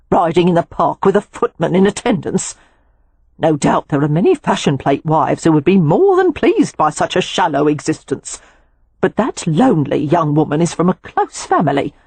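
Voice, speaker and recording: Woman voicing a sharp-tongued older housekeeper. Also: mid-range voice, slightly rough, no room sound, pitched above the performer's own voice. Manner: pointed, wry.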